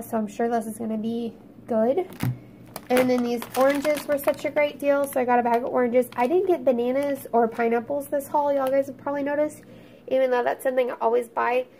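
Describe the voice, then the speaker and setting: Stuffy-nosed southern mom from oklahoma, high voice, chatty and homey, rattling off groceries. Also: female voice, fairly even intonation, a little fast, darkish tone, slight background noise. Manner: chatty, homey, listing items. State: congested, sniffly, crackly voice. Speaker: YouTube vlogger, mom. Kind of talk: casual grocery haul narration.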